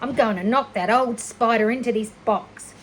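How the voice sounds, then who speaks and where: A woman voicing a brash, excitable young farm boy from a children's storybook, exclaiming loudly and boldly. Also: a little high, normal speed, slight background noise, close to an everyday voice, low-quality recording. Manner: loud exclaiming, bold.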